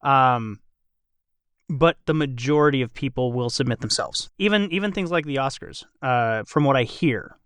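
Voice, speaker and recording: A man's voice: medium pitch, normal speed, fairly bright tone, clear, resonant tone, no echo. A man hosting a casual podcast panel, talking in a rambling way and qualifying himself as he goes. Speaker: podcast host and voice actor.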